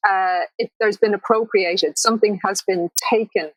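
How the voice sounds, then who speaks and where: A woman interviewing a poet, enthusiastic and quick to laugh. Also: mid-range voice, steady tone, no echo, rough recording. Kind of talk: conversational interview.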